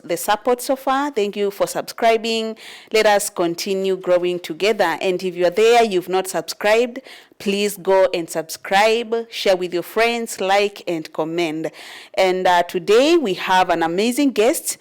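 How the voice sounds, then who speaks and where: A woman's voice: medium pitch, unhurried, smooth. A woman hosting a talk show, earnestly introducing her guest and asking questions. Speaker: host.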